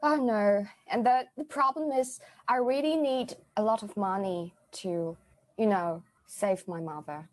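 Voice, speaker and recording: A woman improvising an earnest former royal maid who is secretly a witch, speaking with halting phrasing. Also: medium pitch, close to an everyday voice. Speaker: improv player. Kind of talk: improvised comedy scene.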